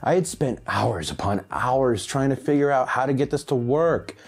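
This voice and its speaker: A man around his late thirties or forties, presenting a scripted audio tech tutorial with clearly enunciated, step-by-step delivery. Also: medium pitch, expressive, normal speed, faint music behind. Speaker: host of a hi-fi audio channel. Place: small home room, indoors.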